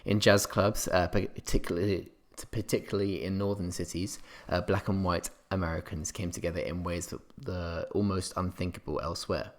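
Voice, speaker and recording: A man narrating a scripted history revision lesson as a tutor, explaining in a somewhat halting way. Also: sits low, little pitch movement.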